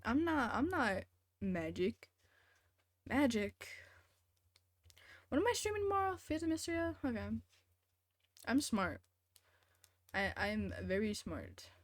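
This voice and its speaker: A woman's voice: a little high, sing-song, slow pace, long pauses, no room sound, studio quality. A woman live-streaming a video game with casual commentary, playfully sarcastic as she talks back to chat and game.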